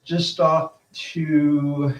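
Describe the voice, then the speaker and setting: An American man giving a casual live weather update as a storm spotter, talking in a halting, unhurried way. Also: on the high side, little pitch movement. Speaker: storm spotter, channel host. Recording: roomy, distant, narrow-band livestream sound.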